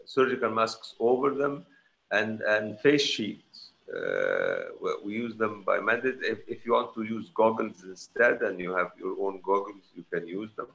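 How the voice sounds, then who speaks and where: A middle-aged man on a video call from home, speaking in a halting, emphatic way. His voice is low and gravelly, with a bright, forceful edge. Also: big pitch swings, low-quality recording. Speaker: surgeon panelist. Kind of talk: panel discussion over video call. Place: home room with curtains. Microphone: video call, computer mic.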